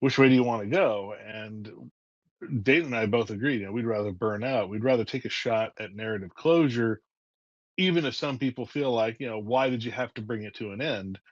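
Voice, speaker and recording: A man's voice: mid-range voice, brisk, no room sound. Male guest writer, wry and thoughtful storyteller; dull, muffled call audio. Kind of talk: conversational interview.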